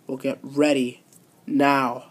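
A woman voicing a stern female wrestling boss who talks low and clipped. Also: little pitch movement, slow pace, breathy edge, full character voice.

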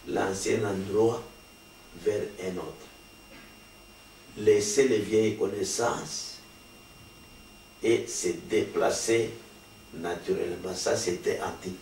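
Un pasteur d'âge mûr, originaire du Congo, qui explique la doctrine avec insistance et sérieux. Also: male voice, fairly low pitch, steady tone, takes it slowly, long pauses, darkish tone. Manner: earnest, explanatory, emphatic. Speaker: pastor, guest. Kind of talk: sermon-like interview answers. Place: small studio with patterned wallpaper. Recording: roomy, distant, faint hiss.

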